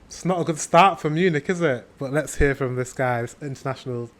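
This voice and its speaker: A man's voice: mid-range voice, a little fast. A man with a British accent hosting a reaction video, chatting to viewers and thinking aloud. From Britain. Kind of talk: casual reaction commentary.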